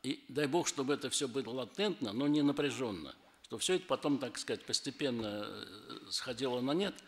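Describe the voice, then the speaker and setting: Man giving a halting talk in a reverberant hall. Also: mid-range voice, animated. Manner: halting, many pauses and fillers. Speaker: conference speaker. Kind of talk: conference talk. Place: conference hall.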